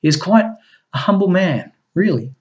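A man's voice: high voice, lively intonation, moderate pace, pitched above the performer's own voice. A man voicing a sweet, sarcastic wife. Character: woman, a man's loving, sharp-tongued partner. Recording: dull lo-fi recording.

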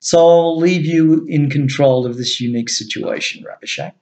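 A man's voice: fairly high pitch, moderate pace, darkish tone, lightly acted. A man voicing a gracious old alien king who speaks kindly to a trusted servant.

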